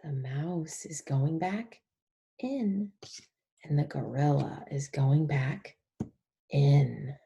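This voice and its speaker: Female read-along teacher for little kids: lowish voice, very slow pacing, exaggerated child-directed delivery. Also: creaky, mellow, lo-fi sound. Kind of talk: picture-book read-along for young children.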